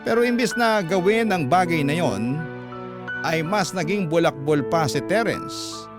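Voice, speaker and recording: A man's voice: a little high, animated, measured pace. A man reading a letter as scripted first-person love story narration on radio. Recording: music bed behind voice. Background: instrumental music.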